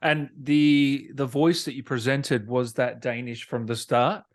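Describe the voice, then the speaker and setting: Man with a mid-pitched voice. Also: fairly even intonation, measured pace. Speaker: interviewer, gaming show host. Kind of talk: casual interview chat.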